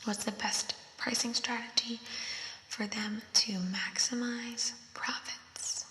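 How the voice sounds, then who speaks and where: Slow whispering woman with echoey reverb. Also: a little high, fairly even intonation. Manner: soft unvoiced whisper, slow and thinking aloud. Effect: voice effect on the whisper. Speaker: ASMR creator. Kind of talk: whispered ASMR quiz reading. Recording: roomy echo with long reverb.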